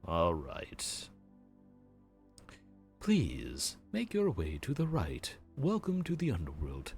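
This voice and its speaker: Guy doing a deep slow grim reaper, sarcastic fed-up paperwork type. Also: wildly swooping pitch, creaky, a little mellow, soft background music, heavily acted. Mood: puzzled. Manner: exasperated, sarcastic bureaucrat. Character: weary male grim reaper running the underworld queue. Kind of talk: audio roleplay.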